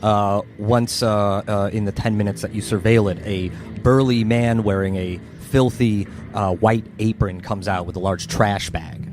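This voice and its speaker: A man running a tabletop game as game master, narrating scenes in a measured, descriptive way and refereeing the rules. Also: fairly low pitch, fairly even intonation, noisy background.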